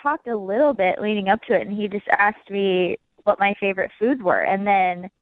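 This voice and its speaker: A woman's voice: mid-range voice, normal speed, dry recording. A woman calling in to a radio show, chatting casually over the phone. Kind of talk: casual chat. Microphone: phone call. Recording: muffled narrow phone line.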